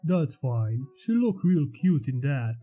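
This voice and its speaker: The recording is dull and lo-fi, with faint music underneath. A man plays a protective male skeleton in a fan fiction story. He is calm and reassuring, with a quietly serious side and an average pitch. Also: slow. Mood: calm, approving. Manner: reassuring, low-key. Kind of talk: acted fan fiction reading.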